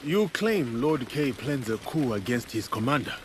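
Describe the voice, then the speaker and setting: An actor playing a grave, principled warrior in feudal Japan for a video game, with measured, formal speech. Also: male voice, medium pitch, very animated, a little hiss or hum, close to an everyday voice. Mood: probing, measured. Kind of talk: dramatic video game acting. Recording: music behind the voice.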